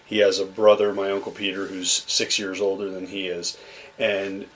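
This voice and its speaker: A man's voice: fairly low pitch, low-quality recording. A young man from Massachusetts, a podcast guest, telling stories about his childhood in a loose, rambling way with lots of fillers and restarts. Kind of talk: relaxed conversational storytelling.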